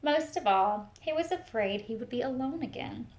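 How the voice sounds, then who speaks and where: A woman reading a picture book aloud to young children, expressive and speaking to them directly with teacher-like questions and asides. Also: fairly high pitch, normal speed, low-quality recording. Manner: expressive storytelling, talks directly to young listeners.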